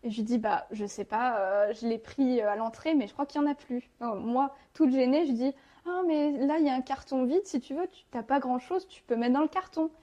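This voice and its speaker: Higher-pitched young Frenchwoman telling her story. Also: a little fast, hardly any pauses, warm tone, dull audio. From France. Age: 20s. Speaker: podcast guest. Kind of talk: casual personal storytelling.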